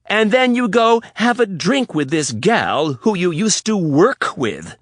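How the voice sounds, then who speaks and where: A man voicing a furious, jealous young fiancée in an audiobook, loud and sarcastic. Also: medium pitch, huge pitch swings, unhurried, breathy edge, no echo, clean studio sound, full character voice. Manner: shouting, biting, sarcastic. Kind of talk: audiobook character voice.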